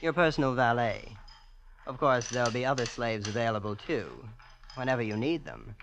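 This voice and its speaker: An actor playing a smug young heir who boasts of his family's wealth in a calm, matter-of-fact way, in 1940s radio acting. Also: medium pitch, normal speed, background noise, close to an everyday voice. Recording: dull old radio recording.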